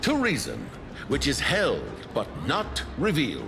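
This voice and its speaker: A man voicing a courteous, teasing scholarly gentleman in an anime-style game, with theatrical delivery. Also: mid-range voice, wildly swooping pitch, measured pace, vocal fry, exaggerated voice. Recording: music behind the voice. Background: game music.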